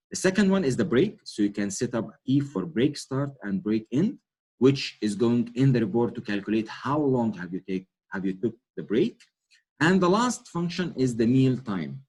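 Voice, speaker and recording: A man from Egypt with an Egyptian Arabic accent, a native Arabic speaker, giving a webinar walkthrough of software settings, with frequent self-corrections and fillers. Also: medium pitch, husky. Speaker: product trainer.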